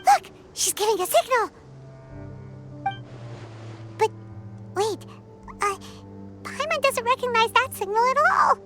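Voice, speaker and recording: A woman voicing a tiny, excitable female floating companion who chatters, exclaims a lot and calls herself by name. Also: very high voice, slow, pauses often, soft background music, exaggerated voice. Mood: excited. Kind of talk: video game voice acting.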